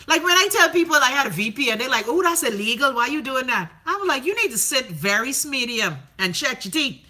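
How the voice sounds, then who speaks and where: A woman's voice: high-pitched, a little fast. A Bahamian woman hosting a livestream, chatting casually and sassily while reading out viewer comments, with plenty of profanity. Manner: sassy, profane, animated storytelling. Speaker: livestream host.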